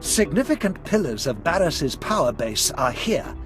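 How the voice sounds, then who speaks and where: Courtly, scheming Sith lord, animated swooping voice. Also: male voice, fairly high pitch, moderate pace, music in the background, rough recording. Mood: warmly impressed. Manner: formal, courtly, eloquent. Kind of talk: video game cutscene acting.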